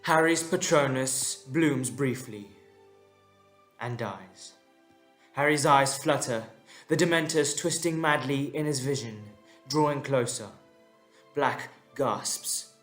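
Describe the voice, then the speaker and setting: A man narrates a dramatic audio story with slow, measured suspense. His voice sits at a medium pitch, and he leaves deliberate pauses between phrases. Soft music plays behind him.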